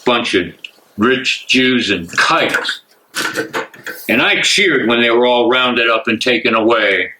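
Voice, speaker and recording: Man playing a slow, sneering Nazi major. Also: mid-range voice, lively intonation, pushed and bright, low-quality recording. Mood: bitter, gloating. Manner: deliberate, taunting. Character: sneering, bitter Nazi major, cruel and gloating. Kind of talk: dramatic stage reading. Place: library room, live reading.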